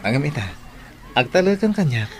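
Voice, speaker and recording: A man's voice: on the high side, sing-song, brisk, some chatter behind. A man playing an earnest, devoted young suitor in Ilocano radio drama style, with an Ilocano Filipino accent. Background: music.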